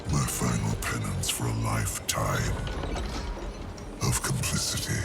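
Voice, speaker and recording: An actor playing an ancient, solemn otherworldly lord in a video game, with grave, deliberate, formal and theatrical delivery in a gravelly voice. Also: male voice, heavily acted. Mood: solemn, earnest. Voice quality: very deep, gravelly. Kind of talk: video game cutscene acting. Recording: loud music behind the voice. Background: loud music.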